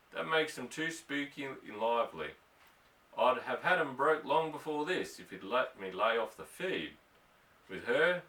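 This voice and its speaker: A man's voice: mid-range voice, pushed and bright. A man voicing a laconic, plainspoken bronco buster in a storybook reading. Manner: folksy drawling rustic dialect. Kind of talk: storybook character voice in audiobook. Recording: roomy echo, distant from microphone.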